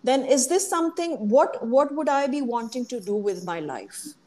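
A woman guest in a casual interview, speaking earnestly and reflectively, often pausing with fillers as she recalls. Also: high-pitched, expressive, warm tone, slight background noise. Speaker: guest, hypnosis coach.

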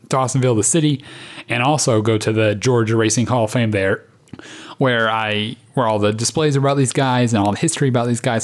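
A young man co-hosts a casual podcast, chatting about racing trips at an easy, even pitch. He talks in a relaxed, storytelling way. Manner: chatty, tells stories. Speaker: podcast co-host. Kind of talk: casual chat.

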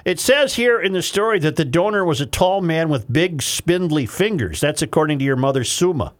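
A man hosting a radio show, interviewing a caller with mock-serious calm and short affirmations. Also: a little high, a little fast. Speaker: radio host. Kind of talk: deadpan comedy interview.

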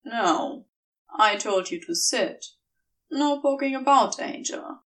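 A woman voicing a calm, firm male partner who gives stern, measured instructions tenderly, in an audiobook story. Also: on the high side, recorded from a distance.

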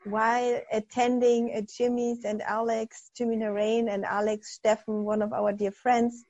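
A woman's voice: a little high, flat delivery, a little slow, a little mellow, muffled recording. A woman hosting a podcast interview, talking in an effusive, enthusiastic motivational way. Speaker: podcast host.

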